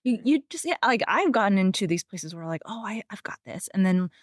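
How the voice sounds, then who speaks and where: A woman's voice: mid-range voice, big pitch swings, a little fast, dry recording, clean studio sound. A woman hosting a podcast interview, speaking in a thoughtful, conversational way with many fillers. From of Taiwanese family background. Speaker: podcast host. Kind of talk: casual interview.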